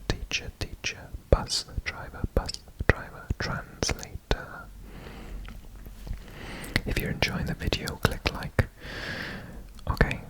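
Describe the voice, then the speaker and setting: A man from Wales, whispering a quiz about himself for an ASMR video, repeating single words softly over and over. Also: very high-pitched, rough recording. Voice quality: whispered throughout. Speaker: ASMR creator.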